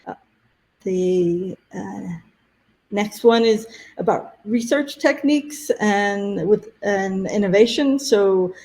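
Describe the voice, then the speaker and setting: A woman's voice: mid-range voice, darkish tone. Lady running a webinar, losing her voice, lots of uhs and restarts. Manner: halting, many fillers and restarts. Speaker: conference program presenter. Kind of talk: webinar presentation.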